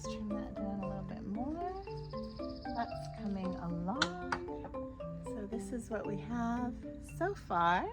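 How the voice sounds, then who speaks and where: A woman vlogging casually from her backyard garden, a little hoarse from a cold, chatting gently as she arranges flowers. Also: mid-range voice. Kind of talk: casual garden vlog, demonstrating.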